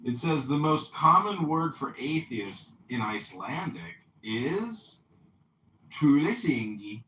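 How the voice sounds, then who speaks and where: A man hosts a call-in podcast in a mocking, lecturing tone and drags out each question. His voice is bright and forceful. It sounds distant and roomy, as if the mic sits well away from him. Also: medium pitch, sing-song, a little hiss or hum. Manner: lecturing, sarcastic, drawn-out questioning. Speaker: podcast host.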